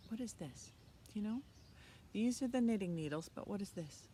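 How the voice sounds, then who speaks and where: A woman's voice: mid-range voice, lively intonation, moderate pace, warm tone. A woman in her fifties hosts an interactive preschool storytime, speaking in simple short phrases and asking children encouraging questions. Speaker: children's library storytime host. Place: outdoors in a leafy backyard. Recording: outdoor ambient noise.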